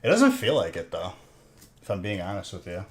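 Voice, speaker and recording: Teasing, low-voiced man in his thirties. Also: huge pitch swings, a little fast, a little hiss or hum. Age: 30s. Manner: teasing, joking banter with chat. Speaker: live stream host opening card packs. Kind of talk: casual live stream chat with viewers.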